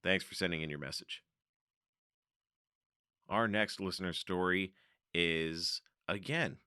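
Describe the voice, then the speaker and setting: A man's voice: deep voice, pushed and bright, clear, resonant tone, no room sound, clean studio sound. A man hosting a car podcast, reading out listener letters and chatting about them in a casual, laughing way. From North America. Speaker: podcast host.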